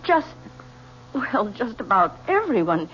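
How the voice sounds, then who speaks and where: A guarded, stammering widow. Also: female voice, medium pitch, very animated, slow pace, a bit bright. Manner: hesitant, stammering. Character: composed, guarded wealthy widow, middle-aged. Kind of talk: 1970s radio drama acting. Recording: dull old radio recording with faint hiss.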